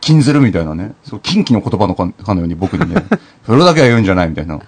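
A man's voice: low voice, very animated, rapid-fire, a little mellow, husky. A man hosting a casual comedic radio chat, teasing and mock-scolding his co-hosts. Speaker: radio show host.

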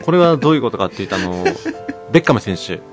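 A man explaining trivia as the bar master in a comic podcast skit, polite, apologetic and halting. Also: lowish voice, sing-song. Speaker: podcast cast member playing the bar master. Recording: faint music behind the voice. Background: faint music.

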